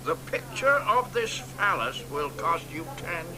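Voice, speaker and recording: An actor playing a boastful, crude old American poet in a radio drama, drawling and dropping into hoarse whispers. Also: male voice, a little high, very animated, a little slow, noisy background. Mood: mocking.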